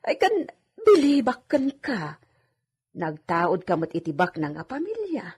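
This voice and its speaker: A woman's voice: medium pitch, huge pitch swings, a little slow, darkish tone, slightly rough, full character voice. A woman playing a humble, deferential village housemaid who is easily flustered, in an Ilocano radio comedy drama. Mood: deferential, admiring. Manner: exclamatory, respectful, flustered.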